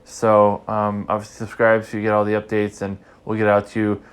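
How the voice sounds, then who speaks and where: A man's voice: on the low side, monotone, fairly quick, clear, resonant tone. Congested man hosting a podcast. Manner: rambling, repeats words while thinking. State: stuffy, congested. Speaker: podcast host. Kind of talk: casual podcast recap chat.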